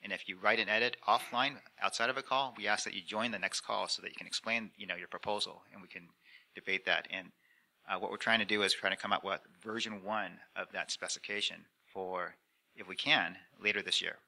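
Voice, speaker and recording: Bright, forceful low-voiced man on a panel. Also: fairly even intonation, fairly quick, vocal fry, low-quality recording. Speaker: conference panelist. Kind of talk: unscripted panel explanation. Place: conference room panel before an audience. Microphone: handheld microphone.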